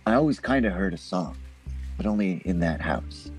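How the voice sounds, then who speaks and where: A man playing an anxious, troubled ghost investigator who narrates his audio drama in a halting, confessional way. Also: low voice, expressive, soft background music. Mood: haunted, reflective. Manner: halting, hesitant, uneasy.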